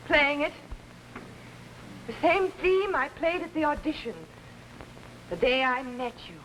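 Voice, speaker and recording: High-voiced demure young pianist. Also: female voice, lively intonation, measured pace, a bit bright. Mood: intense, wistful. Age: young adult. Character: ambitious young woman pianist, outwardly demure, secretly scheming. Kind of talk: 1950s television drama acting. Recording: noisy, aged 1950s television soundtrack.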